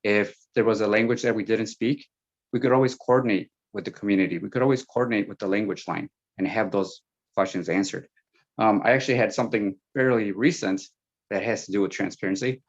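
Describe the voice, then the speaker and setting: A man whose first language is Spanish, a school board candidate speaking frankly in a panel Q&A with halting fillers. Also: on the low side. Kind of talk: panel Q&A answer. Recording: dull lo-fi sound.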